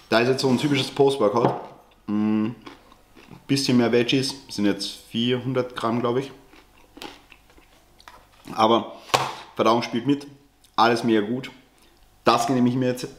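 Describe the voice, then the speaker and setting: A man's voice: mid-range voice, background noise. A man in his twenties chatting casually in a home vlog as a fitness coach, dropping English gym jargon into his speech. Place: home kitchen and dining area.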